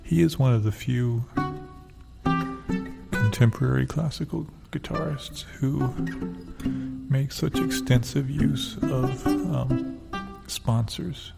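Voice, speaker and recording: A man playing a mild, hesitant, politely skeptical character in deadpan improv comedy, with a gravelly everyday voice. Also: medium pitch, over loud music. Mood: earnest musing. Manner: halting, mild, tentative. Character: hesitant, politely skeptical male customer or musing music pundit.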